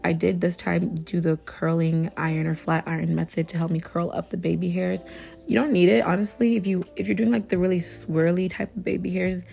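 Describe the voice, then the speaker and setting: A young woman with a hoarse, raspy voice from tonsillitis, talking through a hair tutorial in a casual, chatty way with lots of "you know.". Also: on the low side, brisk, soft background music. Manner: chatty, relaxed, full of fillers like "you know". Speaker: beauty vlogger.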